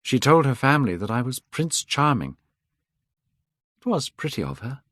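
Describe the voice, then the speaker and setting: A man's voice: medium pitch, sing-song, moderate pace, no echo, studio quality, pitched above the performer's own voice. A man reading aloud, voicing a vain, careless young man who sounds bored and dismissive. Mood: cold, insistent. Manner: bored, dismissive, rising to sharp cries.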